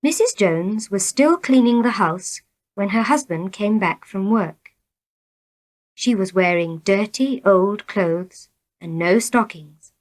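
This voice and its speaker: A woman reading short tales as scripted narration, in a measured, deliberate manner. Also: medium pitch, animated. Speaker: storybook reader.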